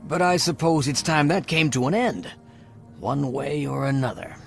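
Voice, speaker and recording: A gravelly, coolly menacing rival. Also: male voice, fairly high pitch, normal speed, faint music behind. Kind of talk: video game dialogue.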